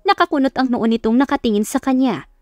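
A woman's voice: sits high, quick pace, darkish tone, dry recording, dull audio. Woman narrating romance, dry close sound. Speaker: audiobook narrator. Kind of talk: scripted romance story narration. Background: background music.

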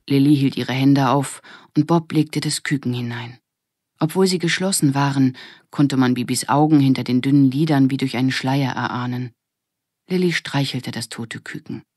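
A woman's voice: extremely low pitch, darkish tone, husky, no room sound, clean studio sound. A woman narrating a children's audiobook in a scripted storytelling style.

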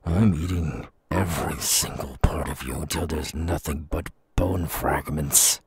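A man playing a menacing, gloating man-eating demon in an ASMR audio roleplay, slow and sneering, with a gravelly growl that drops into breath. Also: a bass voice, no room sound, heavily acted. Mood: threatening. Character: menacing, gloating male demon who eats humans.

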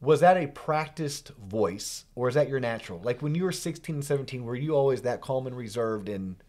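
Man, podcast host, energetic, animated, quick banter, studio mic. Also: medium pitch. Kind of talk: casual podcast chat. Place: podcast studio with curtained backdrop. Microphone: studio microphone on a stand, headphones on.

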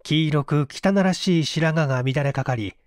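A man narrating a mystery story as an audiobook reader, in an expressive, measured storytelling manner on a close microphone. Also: a little high, no echo. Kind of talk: scripted literary narration, mystery story reading.

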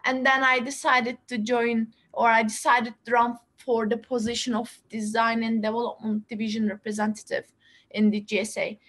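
Brief: young woman, high bright voice, halting webinar host with lots of restarts, laptop mic at home. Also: flat delivery. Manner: halting, many fillers and restarts, laughs often. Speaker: student association president. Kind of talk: casual webinar talk. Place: small room at home, indoors. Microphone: laptop webcam, video call.

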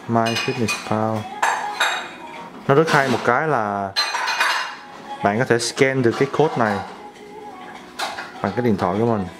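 Young man chatting through a meal prep. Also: fairly low pitch. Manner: explains step by step, relaxed and chatty. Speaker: vlog host. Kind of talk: casual cooking vlog narration. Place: home kitchen, indoors.

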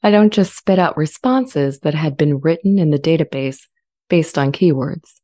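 Earnest AI character acted by a woman, low mellow register, measured and articulate, scripted drama. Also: lively intonation. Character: earnest, friendly, self-aware artificial intelligence language model. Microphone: studio condenser mic with pop filter, close.

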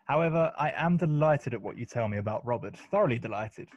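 An actor playing an idle, witty young English gentleman in a radio play, speaking in a flippant, polite way. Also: mid-range voice, expressive, fast talker, lightly acted. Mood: languid, witty. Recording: dull, lo-fi home recording.

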